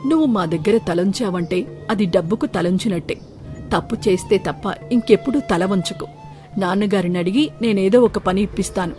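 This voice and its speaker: A woman's voice: mid-range voice, normal speed, a little mellow, faint music behind, lightly acted. A woman voicing a kind, humble young man in a storybook tale, earnest and reassuring. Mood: kindly, reassuring. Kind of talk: storybook character acting.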